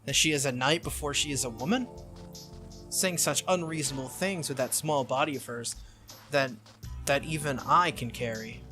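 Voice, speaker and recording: A man's voice: medium pitch, normal speed, bright, forceful tone, soft background music. A man reading a visual novel aloud as a playthrough host, with casual asides and occasional stumbles that he corrects. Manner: stumbles over lines and corrects himself.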